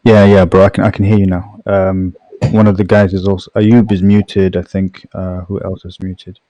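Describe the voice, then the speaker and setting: A man's voice: low voice, level tone, normal speed, mellow, dry recording, lo-fi sound. A man on a voice chat, explaining his view step by step and checking in with "right?" and "okay?". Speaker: guest debating identity. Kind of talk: online voice-chat debate.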